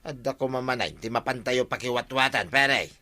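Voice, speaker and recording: A man's voice: mid-range voice, moderate pace, sharp tone, close to an everyday voice. An actor playing a scheming, food-greedy village man in an Ilocano radio comedy drama, with an Ilocano accent. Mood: keen. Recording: music under the dialogue.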